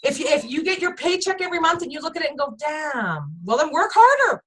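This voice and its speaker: High, lively, animated woman motivating her team. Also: fast talker. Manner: emphatic, animated, keeps addressing listeners as "you guys". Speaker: sales team leader. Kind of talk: casual motivational team chat.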